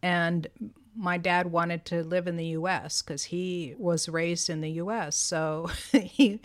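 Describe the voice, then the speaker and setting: A woman in her seventies co-hosts a podcast, telling stories about her life with real animation. Her voice sits a little low, and she laughs often, slipping into other people's voices as she quotes them. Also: fairly even intonation, takes it slowly. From United States. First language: Greek. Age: 70s. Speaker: podcast co-host. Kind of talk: casual conversation.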